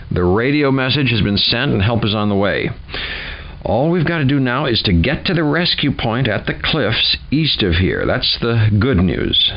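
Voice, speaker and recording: Low-voiced, wry expedition leader. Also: male voice, dull audio. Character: weary, resolute male leader of stranded expedition team. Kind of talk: video game cutscene and mission briefing. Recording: game audio with music behind. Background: background music.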